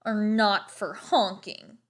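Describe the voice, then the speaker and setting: A woman's voice: medium pitch, steady tone, takes it slowly, close to an everyday voice. A woman voicing a gloomy, earnest young boy in a storybook, with woeful, dramatic delivery. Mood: glum. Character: gloomy, melodramatic, earnest boy in dreadful sweaters.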